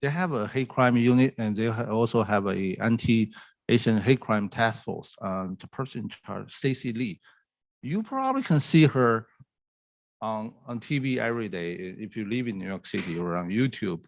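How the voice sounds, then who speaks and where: Halting man asking at a forum. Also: fairly low pitch, vocal fry. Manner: halting, searching for words, many fillers. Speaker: community member asking questions. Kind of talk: question at a community forum.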